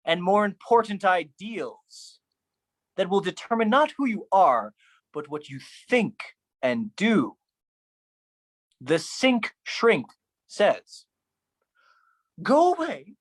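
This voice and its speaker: A performer delivering a theatrical spoken-word poetry monologue in a dramatic, deliberate, heavily emphatic manner. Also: mid-range voice, a bit bright.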